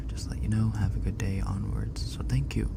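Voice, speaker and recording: A man streaming ASMR, chatting casually and softly with viewers, often dropping into a whisper, on a binaural microphone. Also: on the low side, level tone, fairly quick, creaky, heavy background noise. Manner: soft-spoken, often whispering, chatty and self-deprecating. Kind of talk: casual ASMR livestream chat reading donations.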